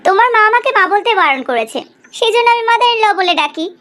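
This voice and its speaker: A woman's voice: very high-pitched, lively intonation, fast talker, a bit bright. A woman playing a confident, teasing young newlywed wife in a cartoon drama, with emphatic questioning. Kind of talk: animated cartoon drama dialogue.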